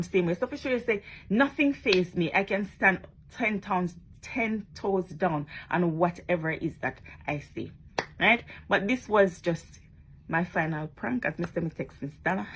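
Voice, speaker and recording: A woman's voice: lowish voice, animated, measured pace, a bit bright, slight background noise, poor audio. A woman talking casually to her followers in a vlog, chatty and emphatic, often tagging on questions. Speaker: social media blogger.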